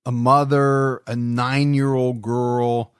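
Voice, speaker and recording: A man's voice: medium pitch, little pitch movement, slow, clean tone, dry recording. Earnest male podcast host, dry close sound. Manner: earnest, reflective, repeats words when stressing a point. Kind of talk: casual true-crime podcast chat.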